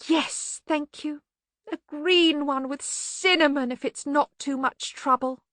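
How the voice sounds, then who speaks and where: A woman's voice: high voice, fairly even intonation, slow pace, fairly bright tone, no room sound, clean studio sound, voice pushed up. A woman voicing a fragile, dotty male university bursar, vague and rambling, in an audiobook. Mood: dotty, wavering. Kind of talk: acted audiobook dialogue.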